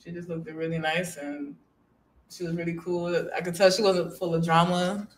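A young woman chatting casually on a podcast, talking in a flat, monotone way with little energy. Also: lowish voice, normal speed, a little hiss or hum, poor audio. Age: young adult. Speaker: blind date guest. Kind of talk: casual chat. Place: small podcast studio, indoors. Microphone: studio microphone held close.

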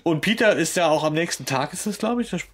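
A man's voice: a little high, animated, quick pace. Casual man retelling a story in slang. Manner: colloquial, crude slang, vivid retelling. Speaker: podcast host. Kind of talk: casual chat retelling an audio drama episode.

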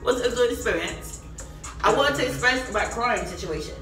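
A young woman telling frank stories during a drinking game, sometimes shouting, at a table in a small apartment. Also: a little high, animated, normal speed. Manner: candid storytelling, bursts of shouting. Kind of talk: casual party game chat. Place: small apartment dining room. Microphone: far camera. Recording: echoey room from far off, music behind.

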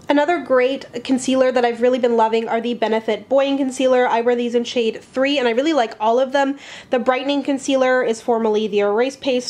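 A woman's voice: fairly high pitch, a little mellow. A young woman in her twenties reviewing products in a casual vlog, talking chattily and upbeat, slightly stuffed up from a flu. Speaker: beauty vlogger. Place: bright room at home, indoors.

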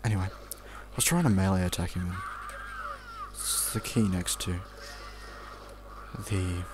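A man's voice: low voice, a little slow, noisy background. A young Australian man giving casual, off-the-cuff video game commentary, rambling in an offhand mutter and swearing now and then. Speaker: let's play commentator.

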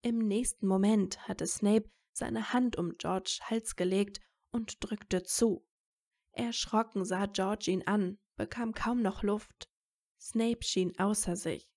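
Woman narrating a story, medium pitch. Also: fairly even intonation, takes it slowly, a little mellow.

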